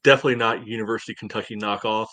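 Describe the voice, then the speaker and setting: Man, lowish voice, dry close sound, casual sports podcast co-host, halting conversational delivery. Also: steady tone, sharp tone, no room sound.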